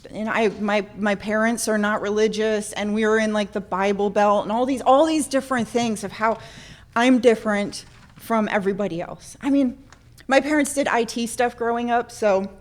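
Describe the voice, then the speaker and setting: Female speaker, fairly high register, from central Florida, sharing personal recovery experience with a group; candid, informal, self-deprecating delivery. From central Florida, born in Norway. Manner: candid, self-deprecating, animated when acting out moments.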